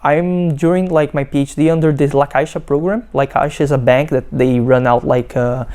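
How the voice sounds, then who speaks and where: Young brazilian guy, portuguese accent, mellow voice, lots of likes and uhs, restarts a lot. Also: a little high, fairly even intonation, clean tone. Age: young adult. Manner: halting, many fillers and restarts. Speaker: PhD student. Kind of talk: testimonial interview.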